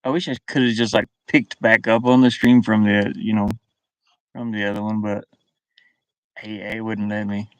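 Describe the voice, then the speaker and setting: A man's voice: lowish voice, flat delivery, moderate pace, clear, resonant tone, no echo. A middle-aged man chatting casually on a livestream, rambling and muttering to himself in short, broken phrases. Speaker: livestream host. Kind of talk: casual live chat with viewers. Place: inside a van. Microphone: webcam.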